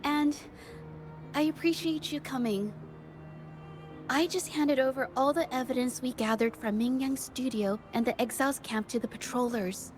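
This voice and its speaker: A woman's voice: high-pitched, normal speed, natural voice. An actress voicing a shy young female painter in a game, earnest and stammering, with faint music underneath. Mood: flustered. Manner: stammering, hesitant. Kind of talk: game voice acting.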